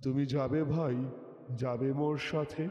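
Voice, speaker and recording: A man's voice: fairly high pitch, steady tone, a little slow, dark tone. A man reciting a poem expressively, as a poetry reciter does, over music. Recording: dull, echoey with long reverb.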